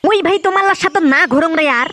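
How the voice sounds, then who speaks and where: A performer voicing a bossy, foul-mouthed young man in a comedy cartoon, with a North Bengal accent and a shrill voice. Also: quick pace. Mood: scolding. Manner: foul-mouthed, scolding, rustic. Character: Tepu, bossy scolding older-brother friend, young man.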